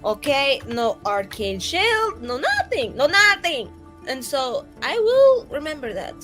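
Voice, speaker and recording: A woman voicing an excitable, flustered young female mage who gushes in slangy exclamations in a tabletop game. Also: high-pitched, unhurried, soft background music, pitched above the performer's own voice, heavily acted. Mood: indignant. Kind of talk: tabletop roleplaying in character.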